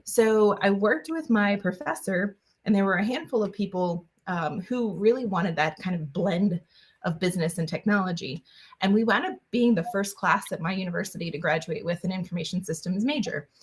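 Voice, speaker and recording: A woman's voice: mid-range voice, normal speed. A woman guest on an interview podcast, answering in a chatty way with many fillers and restarts. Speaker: guest, engineer.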